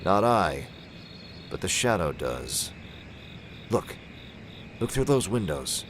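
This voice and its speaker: Deep voiced old-time radio mystery hero, calm n commanding, hypnotist detective type, noisy background. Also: male voice, slow pace, exaggerated voice. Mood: determined, confiding. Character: calm, shrewd male crime-fighting detective with hypnotic powers. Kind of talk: 1940s-style radio drama acting.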